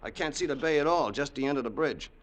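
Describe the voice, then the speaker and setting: A man's voice: a little high, expressive, fast talker, close to an everyday voice. An actor playing a loyal, regretful henchman in an old radio crime drama, with an American accent and slangy tough-guy speech. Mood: deferential, plain. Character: loyal, remorseful racketeer's henchman, plain-spoken tough guy. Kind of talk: old-time radio drama acting and narration.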